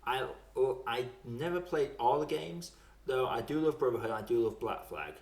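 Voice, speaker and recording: A man's voice: mid-range voice, expressive, moderate pace, darkish tone, faint music behind. A man chatting casually about video games in a colloquial, self-deprecating way. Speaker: podcast speaker. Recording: roomy, echoey sound.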